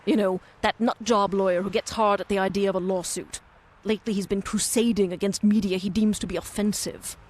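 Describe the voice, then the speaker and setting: An actress playing a brash, self-centred television reporter in a video game cutscene. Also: female voice, medium pitch, fairly even intonation, brisk, a little mellow, natural voice. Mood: impatient, scheming.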